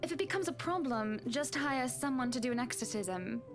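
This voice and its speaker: Prim, high-voiced socialite wife. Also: female voice, expressive, moderate pace. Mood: airy, approving. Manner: prim, genteel. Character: refined, polite, snobbish socialite wife. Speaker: character voice actor in a visual novel. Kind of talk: voiced game dialogue. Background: music.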